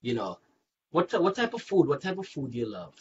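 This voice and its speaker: A man's voice: a little high, wildly swooping pitch, a little fast. A man hosting a casual interview, asking simple questions and groping for words at times. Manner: short encouraging replies, searches for words. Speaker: interview host. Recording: lo-fi with faint noise.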